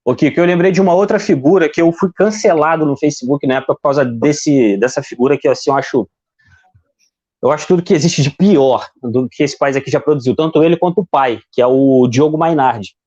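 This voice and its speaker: A man's voice: fairly high pitch, brisk, a little mellow. A Brazilian man giving heated, rambling political commentary on a live stream, often repeating words as he searches for them. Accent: Brazilian Portuguese. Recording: dull lo-fi stream audio.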